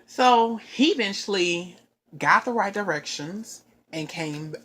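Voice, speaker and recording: A woman telling a long personal story in casual chat, rambling and animated, with frequent asides to her listeners. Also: medium pitch, slow.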